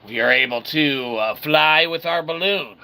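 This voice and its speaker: A man giving casual video game commentary in a playfully cocky manner, talking as "we", with a gravelly voice. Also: on the high side, a little slow, very noisy. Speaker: gameplay host.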